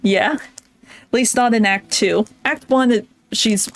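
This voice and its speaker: A woman's voice: a little high, slow pace, no room sound. A woman streamer, a native English speaker, chatting shyly while playing a game; she gets tongue-tied and giggles often. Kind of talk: casual game commentary.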